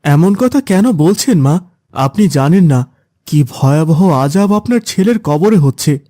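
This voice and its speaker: A man's voice: a little high, lively intonation, a little slow, mellow, no room sound, clean studio sound. A man playing a concerned villager in a cartoon moral story, speaking earnestly and with urgency. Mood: concerned, pleading. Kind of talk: cartoon dialogue.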